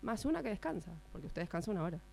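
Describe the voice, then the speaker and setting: A woman's voice: medium pitch, very animated, very fast, vocal fry, mellow. A woman with an Argentine accent playing an insistent, patronizing supervisor who addresses her employee formally and repeats herself needlingly, in a radio drama. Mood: needling, reproachful. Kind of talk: radio drama workshop acting. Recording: amateur recording with mains hum.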